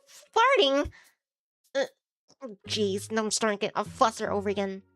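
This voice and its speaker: A woman's voice: mid-range voice, little pitch movement, quick pace, a bit bright, dry recording. A woman streamer casually narrating a visual novel as she reads it aloud. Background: music.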